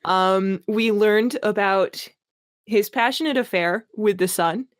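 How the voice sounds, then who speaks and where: A woman's voice: mid-range voice, slow, dry recording. Giggly woman reading aloud, dry sound. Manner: giggly, mock-dramatic. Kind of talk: amused comic reading with commentary. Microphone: online voice call. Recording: dry voice chat audio.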